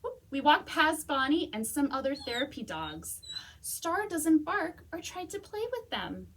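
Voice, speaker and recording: A woman's voice: high-pitched, big pitch swings, a little slow, a bit bright. A woman hosting a children's storytime, reading aloud expressively and speaking to young listeners. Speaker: library storytime host.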